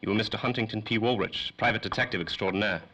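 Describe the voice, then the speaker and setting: Polite old doctor, sharp voice, talks fast, conspiratorial, old radio play. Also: male voice, fairly low pitch, muffled recording. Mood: urgent, secretive. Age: older. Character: courteous, secretive old male doctor. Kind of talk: 1930s radio play acting.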